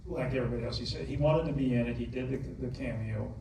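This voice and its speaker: Gravelly guy at a theater q&a, lots of uhs and restarts, sounds far off in a big echoey room with some hiss. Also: medium pitch. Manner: halting, many fillers and restarts, detail-heavy. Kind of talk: answering audience questions at a Q&A. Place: large movie theater. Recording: echoey theater hall, distant mic, noisy.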